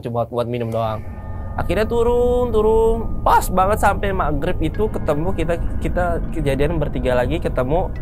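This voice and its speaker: A man of about thirty, casually recounting a personal story in informal, colloquial speech. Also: on the high side. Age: around 30. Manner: informal, colloquial, acts out bits of dialogue. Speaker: storyteller, guest recounting a mountain hike.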